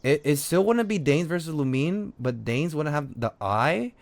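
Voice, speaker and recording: A man's voice: fairly high pitch, animated, moderate pace, no room sound. A man live streaming a game with unscripted commentary, arguing insistently, repeating himself and swearing casually. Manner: argumentative, repetitive, swears casually.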